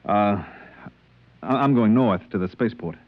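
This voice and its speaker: An actor playing an uneasy, intimidated spaceship purser in a 1950s radio drama, an earnest, decent fellow who speaks with nervous hesitation. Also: male voice, lowish voice, normal speed, no room sound, close to an everyday voice. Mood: troubled, curious. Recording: muffled old radio recording, clear hiss.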